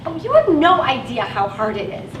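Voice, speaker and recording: A woman's voice: sits high, big pitch swings, moderate pace. An actress on stage playing a frustrated working woman who drives a van and feels stuck in her job. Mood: dejected. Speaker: actress in a one-act play. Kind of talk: live stage play acting. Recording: echoey, distant, noisy live stage recording.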